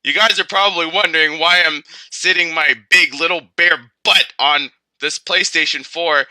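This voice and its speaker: A man's voice: on the high side, steady tone, moderate pace, sharp tone, no echo, low-quality recording. A man voicing a chatty teddy bear who used to be a grizzly, telling stories casually in a rambling, hesitant way full of filler words. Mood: chatty, upbeat. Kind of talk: casual first-person storytelling.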